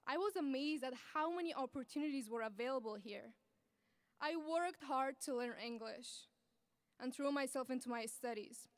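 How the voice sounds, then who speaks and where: A young woman from Afghanistan giving an earnest, measured graduation speech as the student speaker at a podium microphone. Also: sits high, no echo, studio quality. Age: young adult. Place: large ceremony venue.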